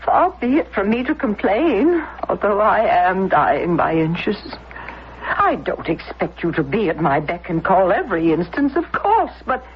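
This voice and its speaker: A woman's voice: medium pitch, big pitch swings, a little slow, a little hiss or hum. A woman acting in a radio drama as a controlling, self-pitying older invalid mother, querulous and martyred. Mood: martyred, reproachful. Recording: muffled radio drama recording.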